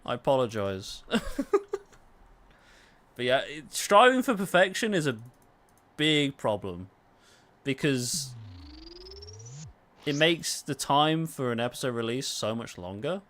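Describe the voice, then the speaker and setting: A man's voice: medium pitch, very animated, takes it slowly, pauses often, fairly bright tone. A man with a southern accent chatting casually and self-deprecatingly as he livestreams a game. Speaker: game streamer and YouTuber. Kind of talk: casual livestream chat while gaming.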